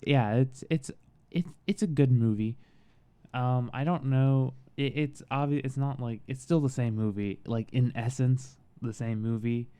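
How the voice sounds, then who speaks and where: Man, soothing mellow voice, casual halting movie review, relaxed. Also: mid-range voice, smooth. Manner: halting, relaxed, with frequent pauses and restarts.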